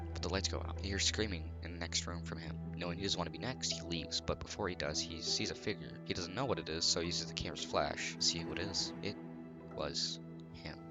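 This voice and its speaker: Low-pitched man narrating over music. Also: fairly even intonation, a little fast. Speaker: narrator explaining a horror series. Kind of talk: scripted storytelling narration.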